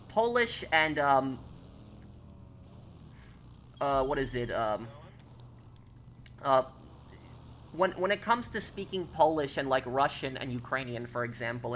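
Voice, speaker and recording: An American man in his twenties talks to his stream chat in a loose, hesitant way, full of "uh"s and restarts as he answers viewer questions. His pitch sits in an ordinary middle range. A faint hiss of room noise runs underneath. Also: a bit bright. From United States. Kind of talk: casual live-stream chat.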